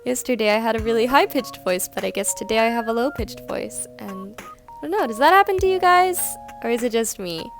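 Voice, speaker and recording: A woman's voice: fairly high pitch, lively intonation, brisk. A young woman chatting casually in a rambling voice-over about her drawing, with fillers and light laughs. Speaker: art vlogger. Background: soft background music.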